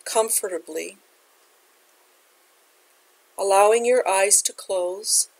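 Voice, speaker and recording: A woman guides a meditation in a mid-range voice. Her delivery is gentle and measured, like a teacher giving calm, step-by-step instruction. She takes her time with each phrase. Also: medium pitch, poor audio.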